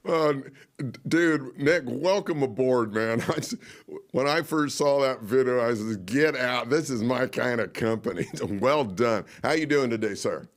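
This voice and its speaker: A man hosting a car culture interview, excitable and folksy, his voice a little hoarse. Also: medium pitch, measured pace. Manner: excitable, folksy, frequent laughs. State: slightly hoarse. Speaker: podcast host. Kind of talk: casual enthusiastic interview.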